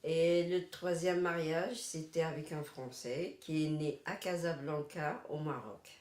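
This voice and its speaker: A woman's voice: sits low, fairly even intonation, unhurried, darkish tone. A woman with a Moroccan accent talking casually about her life in an interview. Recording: echoing room.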